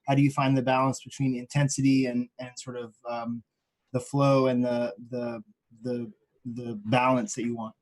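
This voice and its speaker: A man working as a reporter, asking a press-conference question haltingly as he searches for words. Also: mid-range voice, level tone, no echo, low-quality recording.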